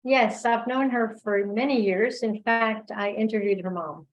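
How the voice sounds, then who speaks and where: A woman giving thoughtful, reflective answers as a guest speaker in an online discussion, pausing as she finds her words. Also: medium pitch, dull audio. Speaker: guest speaker, filmmaker and oral history researcher. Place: remote online video call.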